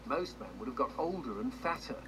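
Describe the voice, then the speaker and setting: An actor playing a wry, macabre storyteller who narrates a grim storybook tale in a video game, dramatic and tongue-in-cheek, heard through noisy TV audio. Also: male voice, mid-range voice, expressive, a little fast, lightly acted. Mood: ominous, wry.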